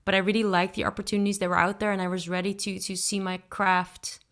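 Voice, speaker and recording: Dutch woman in her thirties, a podcast guest, clear voice, easygoing and reflective. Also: mid-range voice, fast. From Netherlands. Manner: relaxed, thoughtful, frequent ums and restarts. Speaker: guest singer-songwriter. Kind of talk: casual interview answers.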